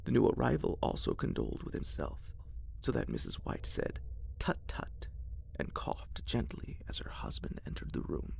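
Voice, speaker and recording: A man narrating a classic horror story in a soft ASMR whisper. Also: rumbling low, wildly swooping pitch, vocal fry. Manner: whispered, slow and hushed.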